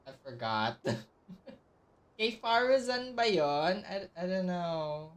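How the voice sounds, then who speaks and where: A woman's voice: on the low side, lively intonation, slow, recorded from a distance. A woman with a Filipino accent doing casual live commentary as she plays a game, reacting aloud and switching between languages. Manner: reacts aloud, mixing English and Tagalog. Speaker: game streamer.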